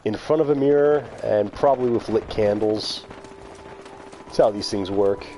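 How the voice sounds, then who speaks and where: A man's voice: fairly low pitch, normal speed, mellow, a little hiss or hum. A man streaming a video game, chatting casually with his viewers and calling them by name. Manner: chatty, talks to chat viewers by name, laughs often. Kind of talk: casual live-stream commentary.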